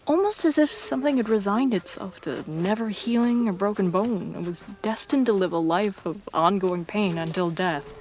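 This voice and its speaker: A woman reading scripted first-person fiction in a measured storytelling manner. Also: mid-range voice, a little mellow, slight background noise. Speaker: story narrator.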